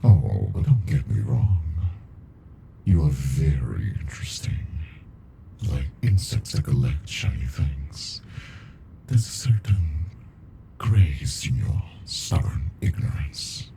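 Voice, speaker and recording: A man voicing an ancient, arrogant captive alien in an ASMR monster roleplay, murmuring with slow, amused menace and condescension in a deep, gravelly voice. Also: animated, warm tone, a little hiss or hum, heavily acted. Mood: menacing, teasing.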